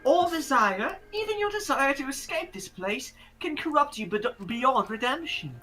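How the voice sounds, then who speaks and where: A blunt young female student, as a woman acts her out, with a fairly high, sharp voice. Her pitch jumps about in anime dub style over faint music. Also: sing-song, normal speed, heavily acted. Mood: intense. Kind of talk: anime game fandub acting.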